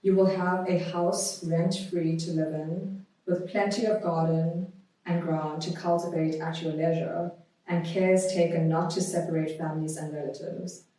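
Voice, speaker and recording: A woman guest on a podcast, talking conversationally and reading aloud in a measured, deliberate way. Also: lowish voice, flat delivery, dark tone. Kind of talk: conversational interview, reading a historical notice aloud. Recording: very echoey room, distant microphone.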